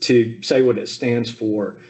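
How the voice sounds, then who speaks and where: A man in his fifties from the American South, giving a relaxed personal talk over a video call with frequent ums and asides. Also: medium pitch, slow pace. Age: 50s. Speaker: speaker at a Zoom talk. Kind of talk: personal family history talk.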